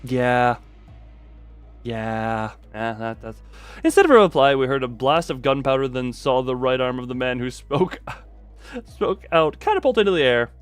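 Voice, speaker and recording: A man doing livestream commentary over a story game, reading it aloud dramatically with animated, incredulous asides and some swearing. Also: mid-range voice, moderate pace, clean tone, soft background music.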